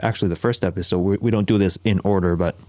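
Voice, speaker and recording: A man from South Korea whose first language is Korean, a podcast guest telling his story in a relaxed, conversational way with plenty of filler sounds and restarts. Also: low voice, fast, dry recording. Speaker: podcast guest, ministry worker.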